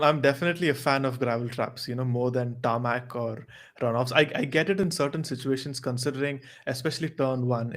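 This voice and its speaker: Measured man discussing motorsport, mid-pitched. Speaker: podcast panelist.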